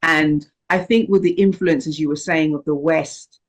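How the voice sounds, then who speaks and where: A woman's voice: sits low, moderate pace. Female moderator from the Caribbean, conversational and thinking aloud, heard over a video call. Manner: thoughtful, with frequent restarts and repeated words. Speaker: moderator of an online discussion. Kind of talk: casual online group discussion.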